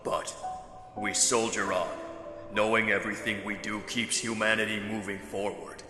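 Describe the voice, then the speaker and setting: Solemn military commander, played by a man, anime parody drama, bright forceful tone, echoey, music underneath. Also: lowish voice, flat delivery, normal speed. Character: solemn, steady military commander, consoling and grave. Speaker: fleet commander. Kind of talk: sci-fi anime parody acting.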